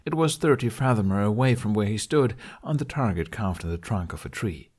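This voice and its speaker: A man with a fairly low voice narrates a fantasy audiobook. He reads scripted prose as a storyteller. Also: animated, brisk, darkish tone.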